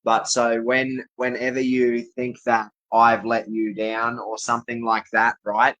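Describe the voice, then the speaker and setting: A man's voice: mid-range voice, little pitch movement, unhurried, pushed and bright, muffled recording. A man from Australia giving a rambling, insistent personal monologue to viewers, often repeating his declarations. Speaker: vlogger speaking to camera.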